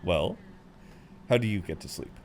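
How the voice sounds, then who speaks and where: A man's voice: on the low side, lively intonation, moderate pace, a little mellow, a little hiss or hum, close to an everyday voice. A man playing a chatty, good-humoured frequent flyer who rambles kindly to a seatmate, in an intimate roleplay audio. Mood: friendly, rambling. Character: chatty, friendly tall male frequent flyer comforting seatmate. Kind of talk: intimate roleplay audio acting, one side of conversation.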